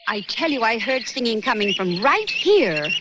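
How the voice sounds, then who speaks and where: High-pitched bossy cartoon researcher. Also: female voice, big pitch swings. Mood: brisk, commanding. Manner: brisk, grandly earnest. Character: single-minded bossy lady bird researcher. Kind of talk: 1960s cartoon voice acting. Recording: dull noisy worn VHS transfer.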